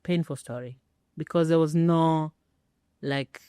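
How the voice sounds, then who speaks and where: A middle-aged woman tells her story in an interview with a low, mellow voice. She speaks emphatically and pauses for effect. The sound is close and dry. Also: steady tone, clear, resonant tone, no room sound. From Kenya. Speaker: interview guest, former air traffic controller. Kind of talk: personal storytelling in a sit-down interview.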